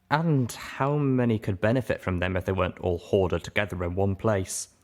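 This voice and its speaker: Man, lowish pitch, audiobook narrator, expressive first-person storytelling. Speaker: audiobook reader.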